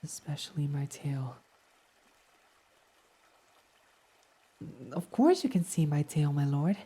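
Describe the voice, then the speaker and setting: A man voicing a devoted, submissive fox boy servant in an intimate ASMR roleplay, reverent, hesitant and breathy. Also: on the high side, sing-song, normal speed. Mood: meek, reverent. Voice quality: soft, breathy. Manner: reverent, self-deprecating, hesitant, breathy half-whispers.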